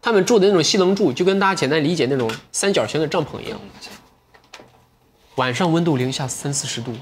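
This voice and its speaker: Young man hosting a lively livestream. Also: a little high, a little hiss or hum. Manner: lively, talks straight to viewers. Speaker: livestream sales host. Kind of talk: chatty livestream selling and book talk. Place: indoor livestream studio with bookshelves.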